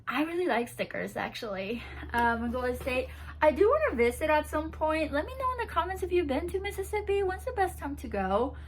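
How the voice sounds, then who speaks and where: A woman's voice: high-pitched, a bit bright, a little hiss or hum. A woman chatting casually to camera as she unboxes a gift box, bubbly and giggly, seated on a bouncy ball at home. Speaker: vlogger unboxing a swap box.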